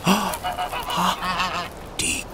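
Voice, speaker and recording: An actor playing a panicky, guilt-ridden hotel manager turned thief in an audio drama, agitated, halting and pleading. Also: male voice, heavy background noise. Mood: panicked, scheming. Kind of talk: German audio drama acting.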